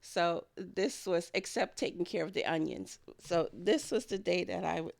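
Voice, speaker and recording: A woman with a fairly low voice and a good deal of gravelly fry in it. She talks through kitchen work as a home vlogger, in a casual and rambling voice-over. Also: normal speed. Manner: rambling, chuckles often. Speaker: home food and garden vlogger.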